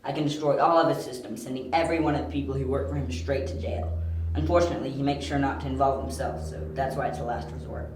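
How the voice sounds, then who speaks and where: A confident young amateur detective, played by a man, lays out his reasoning in a precise, matter-of-fact way. His voice sits at an average pitch in a roomy home-recorded audio drama. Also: fairly even intonation, fast talker, a little mellow, slight background noise, close to an everyday voice. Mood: methodical.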